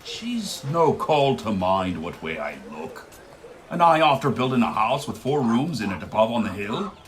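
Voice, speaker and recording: Scolding Irish blacksmith, very animated. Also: male voice, lowish voice, normal speed. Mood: indignant. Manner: scolding, emphatic. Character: gruff, bossy village blacksmith, male, proud and scolding. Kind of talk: radio play acting of rural Irish dialect.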